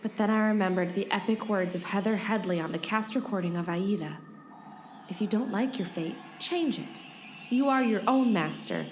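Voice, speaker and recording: A woman playing a determined, brisk heroine in a comic radio play, narrating and speaking in an earnest mock-epic way. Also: mid-range voice, a little hiss or hum, natural voice. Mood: earnest suspense. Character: determined heroine recounting her escape, bossy and brisk.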